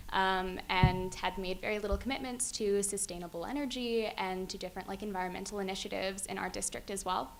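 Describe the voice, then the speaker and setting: A woman's voice: mid-range voice, gravelly, low-quality recording. A young woman on a conference panel, answering thoughtfully with frequent ums and easy laughter. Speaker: youth activist panelist. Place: conference hall stage. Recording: faint background noise.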